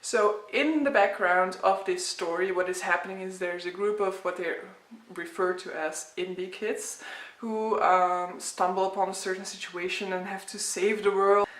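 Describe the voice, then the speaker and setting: A woman's voice: mid-range voice, steady tone, moderate pace. A woman in her thirties from Belgium with a Flemish accent, a native Dutch speaker chatting casually about books in a rambling way with frequent fillers. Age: 30s. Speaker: book vlogger. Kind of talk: casual chat to camera. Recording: echoey room.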